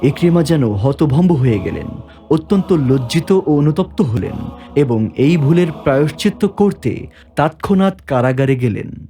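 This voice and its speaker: A man's voice: fairly high pitch, expressive, measured pace, warm tone. A man narrating a scripted story as its narrator. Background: background music.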